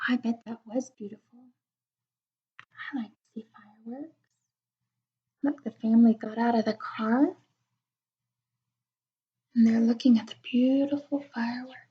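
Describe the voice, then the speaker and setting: A woman reading a children's picture book aloud, with expressive, wondering asides as if talking to young children. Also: fairly high pitch, moderate pace, pauses often. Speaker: read-aloud storyteller. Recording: dull lo-fi recording.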